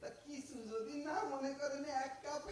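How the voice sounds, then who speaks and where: An actor playing a cheeky small-time village thief with an East Bengal rural dialect, talking in a sly, cocky drawl in a radio play. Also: male voice, very high-pitched, fairly even intonation, moderate pace. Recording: echoey room, far from mic.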